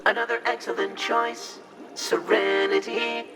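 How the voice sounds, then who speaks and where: An actor voicing an obsequious ship's computer in an audio drama, with flowery, deferential and measured phrasing. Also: medium pitch, fairly even intonation, over loud music, lightly acted, poor audio. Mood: reassuring.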